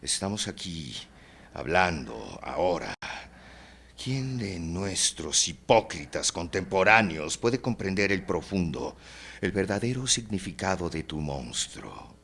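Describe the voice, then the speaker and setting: An actor playing a fatalistic Victorian novelist in a theatrical drama, solemn and declamatory, with darkly taunting, grandiloquent speech. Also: male voice, deep voice, creaky, fairly bright tone, slightly rough, heavily acted. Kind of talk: theatrical stage-drama dialogue.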